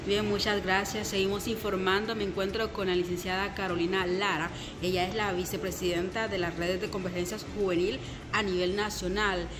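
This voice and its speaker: A woman's voice: medium pitch, flat delivery, moderate pace, crowd noise, low-quality recording. A woman field reporter asking formal questions, addressing her guest by title. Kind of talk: news interview. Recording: loud outdoor ambience.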